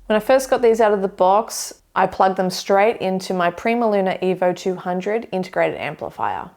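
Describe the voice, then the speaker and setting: A young woman seated in a living room, giving a measured, detailed review of speakers to camera. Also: mid-range voice, expressive, darkish tone. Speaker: audio equipment reviewer. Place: living room with hi-fi shelves, indoors.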